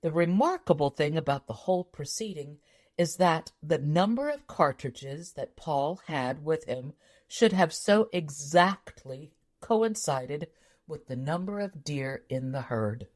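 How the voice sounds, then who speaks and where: A woman's voice: deep voice, expressive, a little slow, a little mellow. A woman reading a tall tale aloud as scripted storybook narration. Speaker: audiobook narrator.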